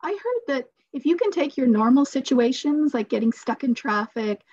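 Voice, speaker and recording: A woman's voice: high-pitched, sing-song, normal speed, a little mellow, no echo. A woman in her forties giving informal advice as a participant in an online workshop. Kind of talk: informal advice on a video call. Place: plain room, indoors. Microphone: video call mic. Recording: dull, dry video-call audio.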